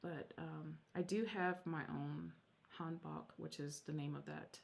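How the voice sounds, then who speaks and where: A woman's voice: deep voice, steady tone, unhurried, gravelly, a little hiss or hum. A woman whose first language is Korean, chatting at home about her stitching projects in a rambling, self-interrupting way. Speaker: Flosstube vlogger. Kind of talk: casual show-and-tell vlog.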